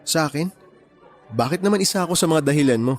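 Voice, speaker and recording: An actor playing a kind, principled young man in a Tagalog radio romance, speaking gently and earnestly. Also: fairly high pitch, expressive, fast, faint music behind, natural voice. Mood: gentle, earnest.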